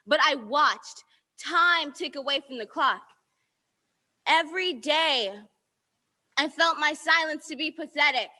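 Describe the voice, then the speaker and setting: High bright teenage girl reciting poetry. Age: teens. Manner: rhythmic rhyming recitation, defiant at times. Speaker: student poet. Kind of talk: spoken word poetry performance.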